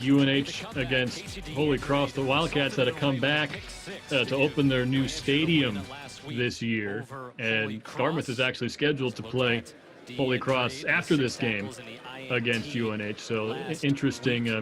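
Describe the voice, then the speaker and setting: A man moderating a watch party, giving background information and asking steady questions. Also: mid-range voice.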